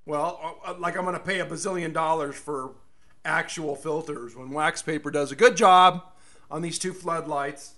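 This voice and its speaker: A middle-aged American man hosting a casual solo radio show, rambling and folksy, with a slightly hoarse voice. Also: a little high, fairly even intonation, moderate pace, sharp tone. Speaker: radio and podcast host.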